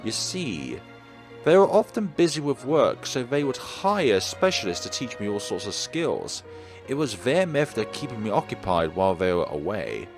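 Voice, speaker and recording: A man voicing a guarded young female singer who speaks in a formal, measured way. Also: on the low side, sing-song, music in the background. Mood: reserved.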